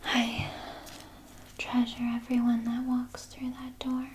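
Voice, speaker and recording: Woman playing a slow, whispering vampire nurse. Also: a little high, monotone, slight background noise. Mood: smug, coy. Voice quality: breathy whisper. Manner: slow, soothing, coaxing, softly articulated. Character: sly, doting female vampire nurse with veiled hunger. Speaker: ASMR performer. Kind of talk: whispered ASMR personal-attention roleplay.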